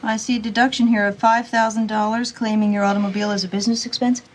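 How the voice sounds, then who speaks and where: Actress as strict, officious tax auditor. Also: female voice, mid-range voice, flat delivery, fast, close to an everyday voice. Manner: officious, matter-of-fact. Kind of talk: television drama acting. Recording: faint background noise, room ambience.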